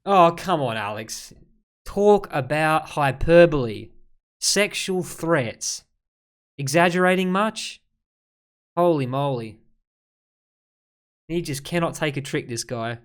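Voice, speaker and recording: A man's voice: a little high, lively intonation, slow, long pauses, clear, resonant tone. A man ad-libbing mocking reaction commentary as host, sarcastic and exasperated. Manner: sarcastic, exasperated, addressing the person he critiques.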